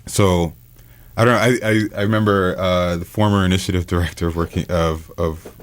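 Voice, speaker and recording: A middle-aged man from New York City, a radio guest talking in a soft, halting way with many restarts. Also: sits low, steady tone. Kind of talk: casual radio interview. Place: radio studio.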